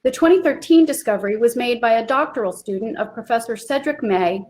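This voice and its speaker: A woman presenting literary history in clear, emphatic scripted narration. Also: a little high, rough recording.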